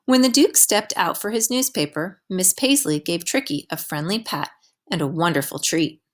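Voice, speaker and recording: Animated lower-pitched woman reading a storybook. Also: normal speed. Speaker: teacher reading a picture book aloud. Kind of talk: children's storybook read-aloud.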